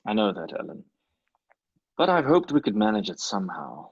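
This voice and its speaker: A man playing a gentle, wistful husband in a radio mystery, earnest and soothing. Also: lowish voice, very animated, normal speed, slightly rough, no room sound, lo-fi sound. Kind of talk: radio play acting.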